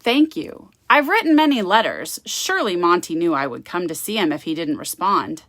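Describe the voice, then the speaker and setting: A woman's voice: fairly low pitch, big pitch swings, normal speed, a bit bright, no room sound, clean studio sound, full character voice. A woman voicing a charming, determined young university man in a gothic romance storybook reading. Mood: winning, polite. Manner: polite, winning, insistent.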